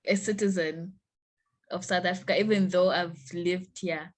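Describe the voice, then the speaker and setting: A young woman from Zimbabwe with a Zimbabwean accent, an interview guest who speaks haltingly and repeats her words. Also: on the low side, fairly even intonation. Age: young adult. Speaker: guest, university student.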